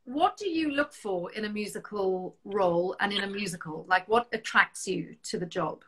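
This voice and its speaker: A middle-aged woman with a South African accent hosting a casual video-call interview from home, chatty and quick to laugh. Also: mid-range voice, a bit bright, husky, poor audio. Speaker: interview show host. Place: home room with shelves. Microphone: video call webcam.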